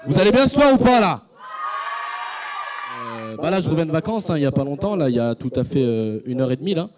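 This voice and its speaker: A man performing a stand-up comedy routine, telling an animated joking story with quick asides. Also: on the high side, a crowd in the background.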